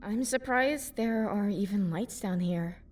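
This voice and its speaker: A woman's voice: medium pitch, measured pace, darkish tone, distant mic, faint music behind, close to an everyday voice. A woman playing an uneasy teenage girl in an audio horror drama, sounding away from the mic. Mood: surprised.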